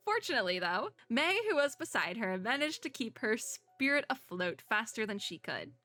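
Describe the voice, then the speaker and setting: Young female streamer, voice hoarse from overuse, giggly chatter, pitched a touch high, with a sharp bright edge. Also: sing-song, moderate pace, dry recording. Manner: giggly, chatty, cheers on characters. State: hoarse, sore throat. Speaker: VTuber. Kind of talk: casual let's-play commentary reading story text aloud.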